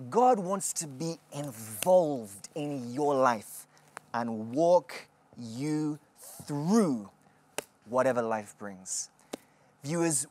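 A woman hosting a televised prayer session, preaching with measured emphasis and interviewing callers. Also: mid-range voice, darkish tone. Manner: measured, emphatic, slow sermon-like delivery. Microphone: studio microphone.

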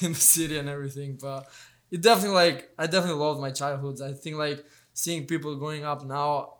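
A young man from Albania, a fighter guest in a casual interview, talking in a relaxed, hesitant way with many fillers and restarts. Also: on the high side, a little fast.

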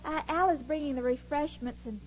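A woman's voice: sits high, fairly quick, close to an everyday voice. An American woman playing a sweet, dim-witted, devoted young blonde in a 1940s radio comedy, naive and earnest. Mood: dreamy, devoted. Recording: muffled old radio broadcast, noisy studio audience.

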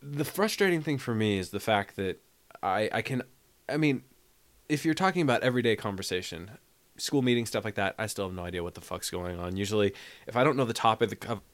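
A middle-aged podcast host in a loose chat over drinks, with a somewhat low voice. He thinks aloud, restarts his sentences and laughs at himself often. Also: expressive, fairly quick. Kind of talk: casual tipsy chat.

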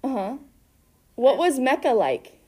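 Bubbly high-voiced woman host. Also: very animated, unhurried, darkish tone, husky. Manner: bubbly, giggly and encouraging. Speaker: teacher co-hosting a class interview. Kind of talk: casual interview with students. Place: school building, indoors.

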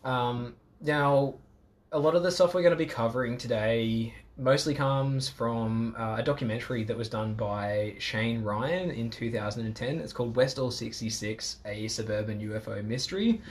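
A man's voice: mid-range voice, normal speed. An Australian man hosting a casual podcast chat, jokey and self-mocking. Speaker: podcast host.

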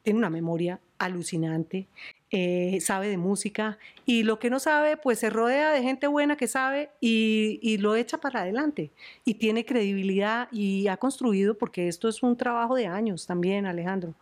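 A middle-aged woman with a Colombian accent, a veteran news presenter. She talks in an animated, storytelling way and laughs often, chatting easily with her interviewer. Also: medium pitch, moderate pace. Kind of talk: podcast interview.

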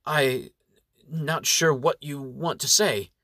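Brief: shy submissive male elf, halting broken English, fairly high voice, read by a man. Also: pushed and bright, no echo, full character voice. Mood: unsure, stubborn.

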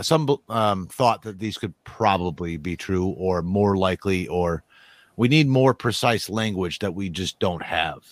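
A man in casual conversation, rambling with many restarts and a steady stream of "like". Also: lowish voice, dry recording. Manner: rambling, restarts often, says "like" a lot.

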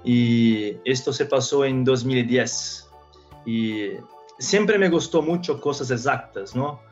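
A man's voice: mid-range voice, muffled recording, soft background music. A Brazilian man in his thirties, a native Portuguese speaker, talking as a podcast guest in Spanish with a Brazilian Portuguese accent, often restarting his phrases. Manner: halting, with frequent restarts. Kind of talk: casual interview talk.

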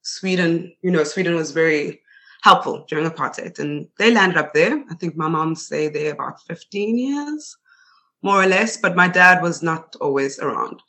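Bright-voiced South African woman. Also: sits low, expressive, normal speed. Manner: chatty, laughs often, many fillers. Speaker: interview guest. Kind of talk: casual conversational interview.

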